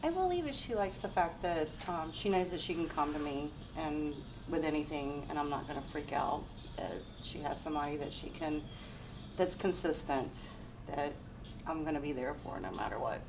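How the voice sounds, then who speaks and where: A middle-aged woman from Louisiana speaking gently about her daughter in an interview. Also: low voice, animated, normal speed. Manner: gentle, laughs between thoughts. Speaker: interviewee, mother. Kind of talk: casual interview. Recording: distant mic, noisy ambience.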